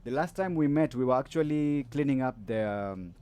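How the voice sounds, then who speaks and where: A man's voice: medium pitch, expressive, moderate pace, warm tone, clean tone. A man hosting a podcast, chatting casually with a guest, loose and rambling with lots of fillers and restarts. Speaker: podcast host. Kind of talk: casual interview chat.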